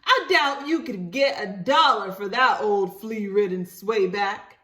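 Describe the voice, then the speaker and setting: A woman's voice: mid-range voice, measured pace, sharp tone. A woman voicing a haughty young storybook woman, scornful and teasing in manner. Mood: mocking.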